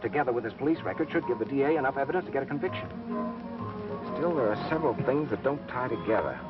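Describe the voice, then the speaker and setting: An actor playing a brisk, keen young American amateur sleuth in 1930s film melodrama style. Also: male voice, mid-range voice, expressive, close to an everyday voice. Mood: reasoning. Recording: dull scratchy 1930s film soundtrack, music.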